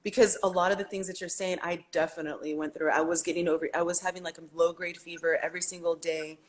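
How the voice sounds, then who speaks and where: A woman's voice: fairly low pitch, level tone, quick pace. A woman guest on a casual livestream chat, talking emphatically and bluntly, with some swearing.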